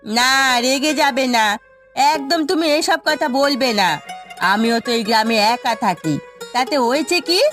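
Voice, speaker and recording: A high-pitched cartoon village woman, played by an actress, firm and familiar. She chides like a close friend who cares. Light music runs underneath. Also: expressive, measured pace. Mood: chiding. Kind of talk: Bengali cartoon voice acting.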